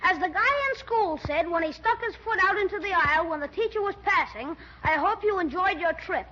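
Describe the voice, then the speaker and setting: An actor playing a cheeky, wisecracking young schoolboy with brash, declamatory delivery in old radio comedy. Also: male voice, extremely high pitch, lively intonation, fairly quick. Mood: deadpan, cheeky. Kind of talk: 1940s radio comedy acting. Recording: muffled, noisy 1940s radio recording.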